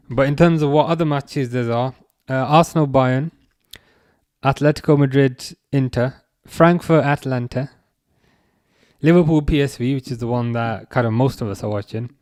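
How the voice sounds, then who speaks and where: A man's voice: mid-range voice, clear, resonant tone, no room sound, clean studio sound. Laid-back man reading out stream chat. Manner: relaxed, hesitant, many fillers and restarts; relaxing voice. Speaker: livestream host reading chat. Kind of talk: casual live-chat watch-along talk.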